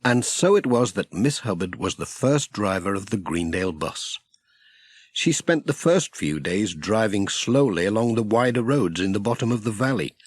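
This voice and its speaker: A man's voice: fairly low pitch, breathy edge, dry recording. A man with a British accent reading a children's story as a scripted audiobook narrator.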